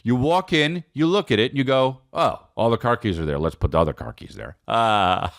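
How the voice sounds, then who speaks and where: Animated American man, very dry sound. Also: medium pitch, brisk, dry recording. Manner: animated, rambling, repeats phrases for emphasis, swears freely. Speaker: podcast host telling personal stories. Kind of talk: casual comedic monologue.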